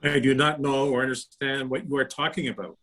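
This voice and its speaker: Man playing defensive, insistent disciple, scripture drama, medium-pitched voice. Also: fairly even intonation, fairly quick, fairly bright tone, lightly acted. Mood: denying.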